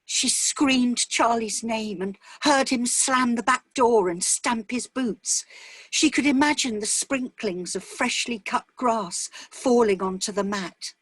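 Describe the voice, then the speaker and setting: A woman's voice: fairly high pitch, takes it slowly, fairly bright tone, breathy edge, dry recording, low-quality recording. A woman reading a dramatic short story as scripted narration.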